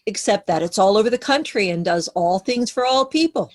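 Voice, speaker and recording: A woman's voice: mid-range voice, expressive, fairly quick, close to an everyday voice. A woman reading a matter-of-fact, well-informed character in a scripted table read.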